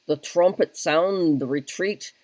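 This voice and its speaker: A man's voice: a little high, slow pace, darkish tone, dry recording. A man voicing a hot-tempered, boastful Irish army captain who blusters and swears oaths in a solo reading of a stage play. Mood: agitated.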